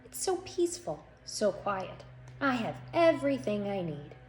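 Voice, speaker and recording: A woman's voice: medium pitch, sing-song, a little slow, darkish tone. A woman voicing a fussy, solitary male duck with prim, formal speech for a storybook read-aloud. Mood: serene, contented. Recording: echoey room with faint noise.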